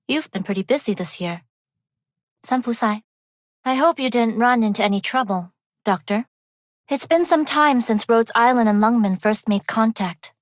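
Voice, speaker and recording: A woman voicing a refined young underworld heiress in a video game, speaking in a composed, measured and formal way. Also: a little high, no room sound, studio quality, lightly acted. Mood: calm, cordial. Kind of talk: scripted game character voice lines.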